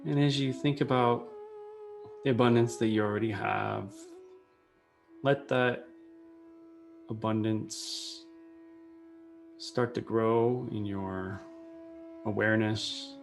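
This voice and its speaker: A man leading a guided meditation, speaking in short unhurried phrases with pauses between them. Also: fairly low pitch, fairly even intonation, vocal fry. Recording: soft music behind the voice. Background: meditation music.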